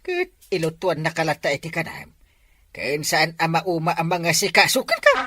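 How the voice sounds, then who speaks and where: A woman voicing a talkative, joking village man with a husky voice in a comedy drama, teasing him and jumping into squeaky outbursts. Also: very animated, moderate pace, heavy background noise.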